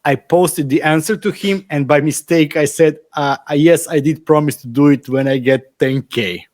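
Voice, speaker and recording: Higher-pitched Croatian-accented man chatting. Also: moderate pace, darkish tone. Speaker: podcast guest. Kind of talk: casual chat.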